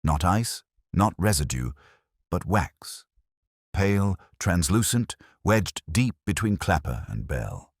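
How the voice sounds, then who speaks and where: Very low male voice, actor as a brooding police inspector, solemn literary narration, expressive swings, detective audio drama. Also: slightly rough, dry recording, clean studio sound, exaggerated voice. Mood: pensive, grave. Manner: solemn, literary, measured phrasing.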